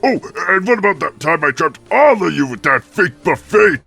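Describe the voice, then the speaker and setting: A man's voice: on the high side, big pitch swings, moderate pace, creaky, pushed and bright, breathy edge, soft background music, exaggerated voice. A man voicing a big, cocky, bumbling male turtle monster villain in a cartoon game parody, full of blustering bravado. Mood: boastful, defensive.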